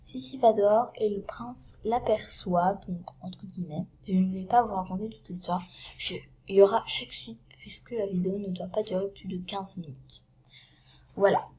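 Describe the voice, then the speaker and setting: A woman with a cold retelling a story in a casual, halting way, often dropping to a hushed half-whisper and filling pauses with fillers. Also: mid-range voice, steady tone. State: has a cold.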